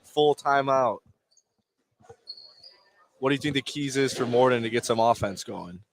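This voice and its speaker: A man doing live play-by-play basketball commentary for radio in clipped phrases, lifting into excited calls on big plays. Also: medium pitch, unhurried, creaky. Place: indoor basketball gymnasium. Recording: noisy gym ambience. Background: gym noise, bouncing basketball.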